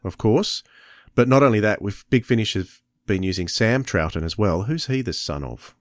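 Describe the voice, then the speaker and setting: A man with a fairly low voice co-hosts a podcast in a casual, conversational way. The sound is dry and close, with little room on it. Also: animated, moderate pace, no room sound. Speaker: podcast co-host.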